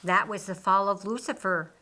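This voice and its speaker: Woman, audiobook reader, bright clear-cut tone, scripted storytelling, middle pitch. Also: fairly even intonation, normal speed.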